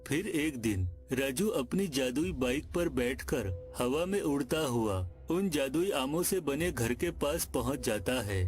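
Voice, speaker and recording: Guy narrating a kids cartoon story, normal mid pitch, music playing behind him. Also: expressive, a little slow, pauses often.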